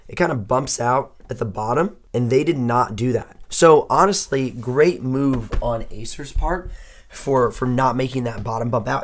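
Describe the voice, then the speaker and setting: A young man with a hoarse voice he says he has partly lost, chatting upbeat and thinking aloud as he unboxes and reviews a laptop. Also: mid-range voice, a little hiss or hum. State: hoarse, losing his voice. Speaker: tech reviewer. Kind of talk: casual unboxing talk.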